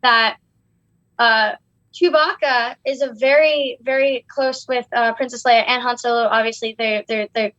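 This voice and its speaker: A young woman with a high voice rambles her way through an argument, full of fillers and restarts. The sound is dry and close, but a little dull and lo-fi. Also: steady tone, measured pace, fairly bright tone, dry recording. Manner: rambling, hesitant, many fillers. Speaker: guest co-host, daughter of the guest. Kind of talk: casual chat.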